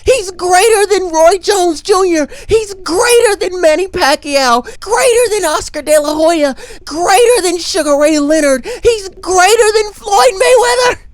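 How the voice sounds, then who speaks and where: A woman giving emphatic opinion commentary in repeated, forceful declarations. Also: very high-pitched, lively intonation, a little slow, hardly any pauses, no echo.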